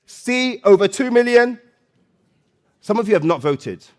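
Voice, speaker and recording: A man hosting a live stage event, loud and playful, coaxing the audience and often repeating phrases. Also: high-pitched, expressive, measured pace. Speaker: event host and MC.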